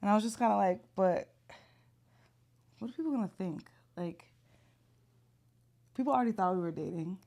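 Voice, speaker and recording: A woman's voice: medium pitch, animated, moderate pace, vocal fry, pauses often, warm tone. A woman in her thirties co-hosting a podcast, teasing her husband with dry comebacks. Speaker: podcast co-host. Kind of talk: casual chat.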